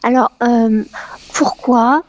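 Animated French woman, muffled dry sound. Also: on the high side, takes it slowly, no echo. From Paris, France. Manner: animated, exclamatory, many hesitations. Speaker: novelist guest, former dental expert. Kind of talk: radio interview, conversational.

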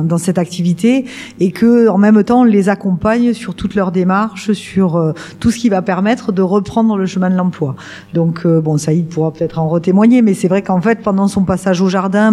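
A woman's voice: fairly low pitch, dark tone. A middle-aged woman, director of a work-integration garden, explaining its work in a radio interview with frequent hesitations and restarts. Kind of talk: radio interview guest explaining her organisation.

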